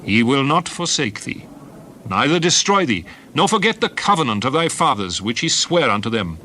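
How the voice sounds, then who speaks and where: A man's voice: mid-range voice, very animated, moderate pace, pushed and bright, slight background noise. A man playing a solemn prophet warning his people in a grave, emphatic, oratorical dramatized Bible reading. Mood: earnest, exalted. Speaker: dramatized scripture reader. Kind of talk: dramatized King James Bible oration.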